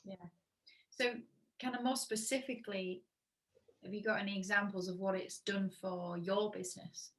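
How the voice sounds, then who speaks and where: A woman's voice: medium pitch, fairly even intonation, normal speed, fairly bright tone, poor audio. A woman hosting a casual interview, giving brief affirmations and asking open questions. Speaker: interview host.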